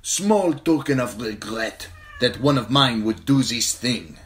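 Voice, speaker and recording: A man's voice: medium pitch, animated, a little slow, a bit bright, voice pushed up. A man reading an audiobook, voicing a small, commanding caravan captain with a formal manner.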